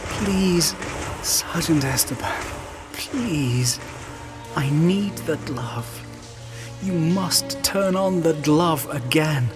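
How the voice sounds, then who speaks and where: A man voicing a tormented young warrior who pleads in a desperate, hushed voice. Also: on the high side, lively intonation, extremely slow, music in the background, voice pushed up. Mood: pleading.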